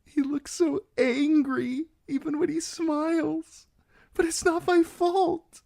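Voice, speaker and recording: A woman voicing a frightened young bride who pleads in a high, trembling, breathy voice. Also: steady tone, slow pace, dark tone, heavily acted. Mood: desperate.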